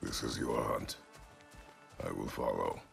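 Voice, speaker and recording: An actor playing a gruff, stoic, middle-aged warrior father in a video game, speaking tersely and formally in a gravelly voice. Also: unhurried, exaggerated voice. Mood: stern, subdued. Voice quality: very deep gravelly voice. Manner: terse, formal phrasing without contractions. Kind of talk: dramatic video game acting. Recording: music behind the voice.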